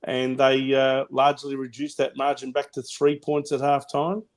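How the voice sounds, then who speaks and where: A man with an Australian accent and a booming voice, hosting a casual football chat show and running through match stats in a rambling, opinionated way. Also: flat delivery, moderate pace. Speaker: podcast host.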